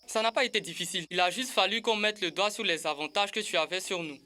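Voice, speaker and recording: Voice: mid-range voice, fast, bright, forceful tone, close to an everyday voice. An actor playing a thoughtful, questioning young child in an educational children's cartoon dub. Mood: matter-of-fact.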